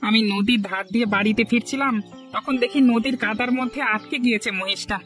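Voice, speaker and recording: A man's voice: very high-pitched, a little fast, a bit bright, faint music behind. A man voicing a kind, stubborn poor village husband in a cartoon, earnest and protesting. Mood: compassionate. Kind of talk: Bengali cartoon story dialogue.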